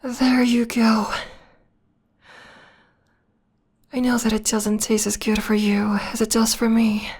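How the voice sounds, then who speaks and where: Breathy, slow female vampire lover, acted by a woman. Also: fairly high pitch. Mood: coaxing, possessive. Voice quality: breathy, often whispering. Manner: soft, slow, coaxing. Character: possessive, obsessed female vampire lover, seductive and doting. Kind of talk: ASMR roleplay, intimate and slow.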